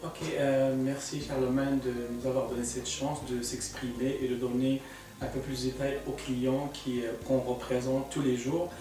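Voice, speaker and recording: A man's voice: mid-range voice, little pitch movement, moderate pace, over music. A man from Morocco, an immigration consultant, earnestly explaining on a studio panel step by step, with many fillers. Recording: echoey room, mic far off.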